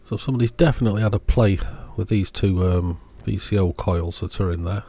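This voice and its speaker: A man with a low-pitched voice talks through an electronics repair as he does it. He sounds unscripted, reasoning aloud step by step. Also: fairly quick, mellow. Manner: unscripted, thinking aloud while working. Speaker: electronics repair video creator. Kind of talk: hands-on repair commentary.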